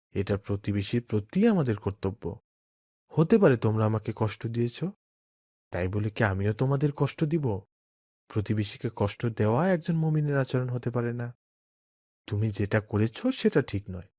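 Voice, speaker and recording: Brief: man voicing a gentle cartoon dad, mid pitch, close dry mic. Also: moderate pace, no echo, close to an everyday voice. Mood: instructive. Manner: calm, gently instructive. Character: kind patient father, middle-aged man. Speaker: father.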